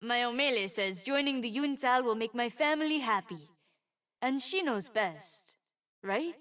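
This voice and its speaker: A woman plays an excitable boy of twelve, a little fire mage from a video game. The voice is high and bright, bouncing widely in pitch. He sounds sweet, earnest and proud of himself. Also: a little slow, distant mic. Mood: earnest, warm. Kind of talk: video game character voice lines.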